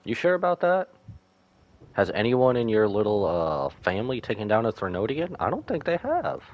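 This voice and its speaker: A man's voice: mid-range voice, wildly swooping pitch, normal speed, muffled recording. A man giving casual live reactions as a game streamer, slangy and irreverent, talking back to the game.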